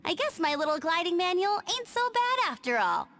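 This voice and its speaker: A woman's voice: extremely high pitch, very animated, brisk, very noisy, heavily acted. A woman voicing an upbeat, restless young scout in a video game, chirpy and chatty. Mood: sheepish. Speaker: game character. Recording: game audio with music behind.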